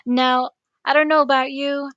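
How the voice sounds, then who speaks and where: A woman's voice: high voice, level tone, normal speed, fairly bright tone, no room sound, muffled recording. A woman with a Filipino accent walking teachers through a screen-recorded tutorial, chatty and step by step. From Philippines. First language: Tagalog. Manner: chatty, explains step by step while clicking. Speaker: teacher coach.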